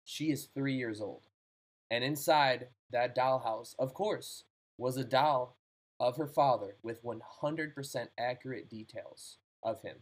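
A man's voice: medium pitch, unhurried, poor audio. A man in casual chat, telling short ghost stories in a loose, jokey way. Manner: loose, jokey, swears freely. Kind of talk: casual podcast chat, storytelling.

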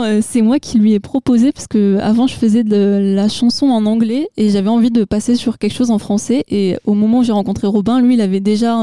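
A woman's voice: mid-range voice, dark tone, dry recording, studio quality. A young woman, a singer-songwriter, chatting casually in an interview with frequent laughs and hesitations. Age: young adult. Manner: chatty, laughs often, fills with euh. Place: festival, indoors. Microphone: microphone.

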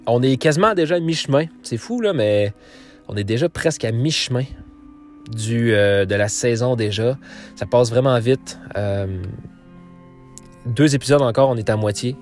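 A man from Quebec speaks with a Quebec French accent as he wraps up his podcast. He talks casually, circling back with fillers and restarts, at a middle pitch. Faint music plays under his voice. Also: normal speed, darkish tone. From Quebec, Canada. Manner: rambling, many fillers and restarts. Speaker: podcast host. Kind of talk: casual solo podcast outro.

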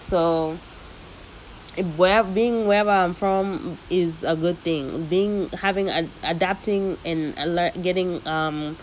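A young woman hosting her own podcast, talking casually and lively and dropping Swahili slang into her speech. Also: lowish voice, slow, a little hiss or hum, poor audio. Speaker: podcast host. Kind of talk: casual solo podcast monologue. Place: living in the United States.

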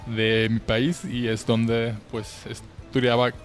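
A man's voice: mid-range voice, completely flat delivery, slow pace, faint music behind. A young man from Austria, a native German speaker, chatting casually as a musician guest and searching for words. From Vienna, Austria. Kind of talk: casual chat.

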